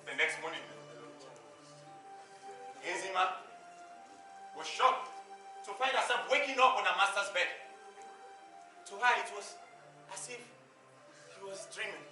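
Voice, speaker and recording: A man's voice: sits high, animated, slow pace, pauses often. A man narrates a stage play in an emphatic, declamatory storytelling style, recorded on an echoey stage. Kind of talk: dramatic theatrical storytelling. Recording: echoey stage, distant mic, background music.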